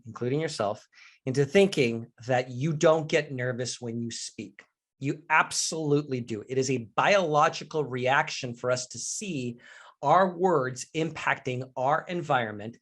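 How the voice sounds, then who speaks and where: A man in his forties from Mexico, a professional speaker leading an online workshop on confidence, talking in a candid and earnest way straight to his audience. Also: medium pitch. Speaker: leadership trainer and professional speaker. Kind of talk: live online workshop presentation.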